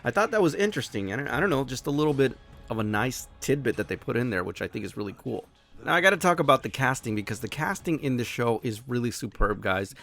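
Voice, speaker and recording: A man hosting his own review show, talking casually and excitedly while a little hoarse from losing his voice. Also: mid-range voice, quick pace. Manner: enthusiastic, animated, swears casually.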